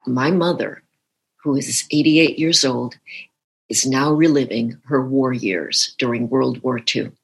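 A woman's voice: extremely low pitch, steady tone, a little slow, gravelly, slightly rough, rough recording. A woman being interviewed, recalling her community with light laughs.

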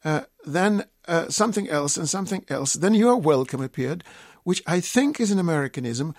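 An older man, a native Russian speaker with a Russian accent, talking deliberately and hesitantly as an expert guest in a radio interview. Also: on the high side, expressive, darkish tone, dry recording, studio quality.

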